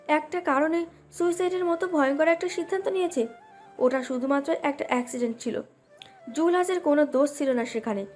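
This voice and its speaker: Female narrator for romantic audio fiction, high register, scripted read with a light music bed underneath. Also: a little mellow. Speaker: story narrator. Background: background music.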